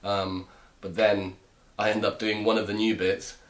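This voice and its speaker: A man's voice: lowish voice, little pitch movement, unhurried. A man in his twenties from Romania, a comedian guest chatting casually and joking in a rambling way. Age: 20s. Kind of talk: casual chat.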